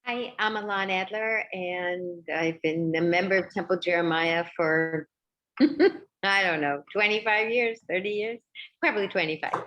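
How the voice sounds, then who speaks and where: A woman's voice: on the low side, unhurried, highs cut off. An older woman, a congregation member, introducing herself in a chatty, self-deprecating way.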